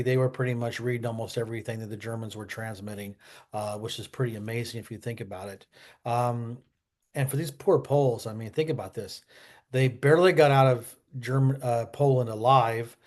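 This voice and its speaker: A man's voice: medium pitch, fairly even intonation, normal speed, a little mellow. A man giving casual history commentary as a vlog host, colloquial and opinionated, often wandering into asides.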